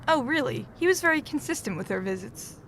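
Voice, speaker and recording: A woman acting a composed, earnest middle-aged therapist in a student audio drama, speaking in a measured, professional way. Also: sits high, animated, fairly bright tone, slight background noise. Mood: guarded, professional.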